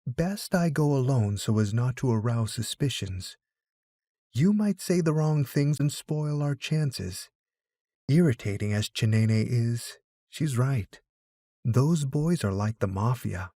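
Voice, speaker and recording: A man's voice: mid-range voice, expressive, slow pace, mellow, dry recording, studio quality. A man voicing a grumbling, wary teenage schoolboy in an audiobook, with a complaining manner. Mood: wary, secretive. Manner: complaining, grudging. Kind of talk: audiobook character dialogue.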